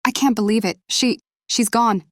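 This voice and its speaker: A woman playing an affectionate aunt in an animated drama, speaking in a gentle, reassuring manner. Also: on the high side, moderate pace, lightly acted. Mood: relieved, tender.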